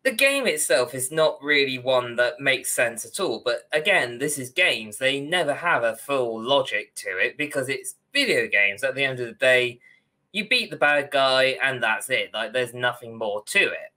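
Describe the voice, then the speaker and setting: A young British man with a bright, forward voice talks as a podcast co-host. He is informal and opinionated, rambling on in long, chatty stretches. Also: medium pitch, expressive, moderate pace. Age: young adult. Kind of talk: casual ranting discussion. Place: indoor room at home, on video call.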